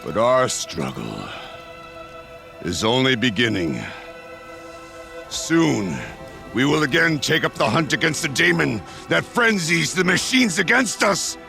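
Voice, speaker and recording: A gravelly rousing warrior chief. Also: male voice, sing-song, slow pace, pauses often, pushed and bright, soft background music, full character voice. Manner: booming, rousing oratory. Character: fierce male warrior chieftain rallying his hunters. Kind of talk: game cutscene acting.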